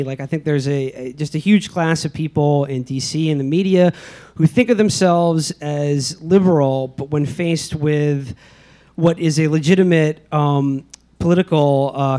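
A man's voice: a little high, darkish tone. A man, a podcast host, speaking as a guest on a live panel, laying out a point earnestly and thoughtfully. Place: live show before an audience.

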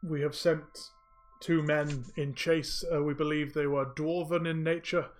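A man's voice: a little high, little pitch movement, unhurried, lightly acted. A man playing a gracious old town speaker. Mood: courteous. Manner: hesitant, apologetic, courteous. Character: tall older male town speaker, gracious and polite.

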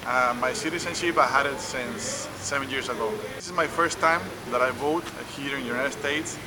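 A man from Colombia with a Colombian Spanish accent, speaking haltingly as a first-time voter. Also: medium pitch, a loud crowd, poor audio. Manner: halting, searching for words.

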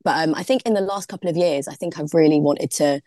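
A woman's voice: low voice, quick pace, warm tone, no echo, studio quality. A young woman, a DJ guest on a podcast, chatting casually and filling her talk with "like". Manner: chatty, heavy use of "like", laughs easily. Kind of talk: casual interview chat.

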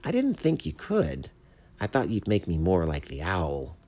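A man's voice: sits low, wildly swooping pitch, measured pace, mellow, exaggerated voice. A man voicing an eager, curious, chatty little chipmunk in a children's storybook. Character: eager chatty pleased chipmunk, small forest animal. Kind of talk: children's storybook read aloud.

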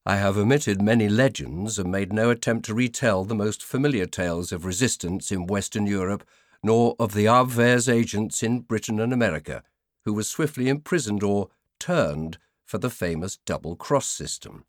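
A man reads scripted nonfiction in a fairly low voice. His delivery is measured and formal, as befits an audiobook narrator. The sound is dry, with very little room to it. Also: dry recording.

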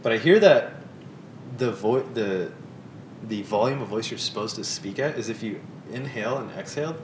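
A man's voice: expressive, normal speed, recorded from a distance. A young man chatting as a podcast guest, laughing often and making self-deprecating asides. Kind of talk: casual chat. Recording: roomy sound, off-mic, rumbling noise.

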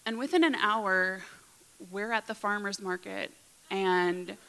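A woman in her thirties recounts a personal story aloud, earnest and vivid, slipping into hushed passages. Her voice is bright and crisp at a middling pitch. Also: takes it slowly, low-quality recording. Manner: earnest, vivid, present-tense retelling, often softening to a hush. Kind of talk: personal story told to an audience.

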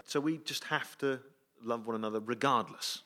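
A man's voice: medium pitch, normal speed, pushed and bright. A man hosting a comic interview on a theatre stage, speaking hesitantly and with mock deference. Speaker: comedy show host. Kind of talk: comic interview before an audience. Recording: live theatre, faint noise.

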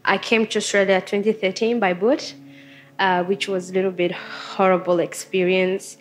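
A young woman from Somalia with a Somali accent, telling personal stories casually in informal, halting phrases. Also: mid-range voice, flat delivery. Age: young adult. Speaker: interview guest, student and storyteller. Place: studio.

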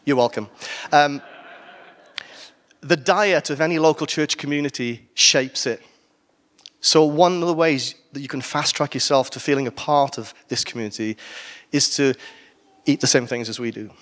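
A man's voice: medium pitch, normal speed, pauses often, a bit bright. A man from Wales with a Welsh accent, preaching a sermon in a conversational way with humorous asides.

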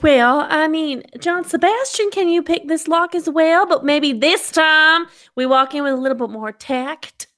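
A woman's voice: sits high, measured pace, few pauses, a bit bright, no echo, clean studio sound. A woman playing a tabletop game, joking theatrically and swearing in character. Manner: theatrical, swearing, exaggerated complaints. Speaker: player.

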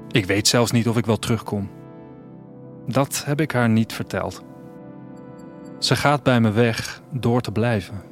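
A man acting as a restless dreamer from a future city, longing to see the world. He tells his story in an intimate, reflective first-person monologue for an audio drama. His pitch is mid-range, with prominent music underneath. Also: close to an everyday voice. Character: restless young dreamer of 2104 longing to travel.

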